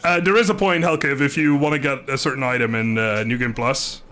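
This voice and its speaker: A man's voice: mid-range voice, steady tone, brisk, pushed and bright. A man casually commentating a video game on a live stream, chatting with viewers in a rambling, good-humoured way.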